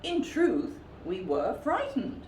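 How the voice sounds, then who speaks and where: A woman's voice: mid-range voice, wildly swooping pitch, slow, darkish tone, echoey room, a little hiss or hum, pitched above the performer's own voice. A woman voicing a smitten, courteous male brown bear with floppy ears in a children's storybook, admiring and polite. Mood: admiring, apologetic.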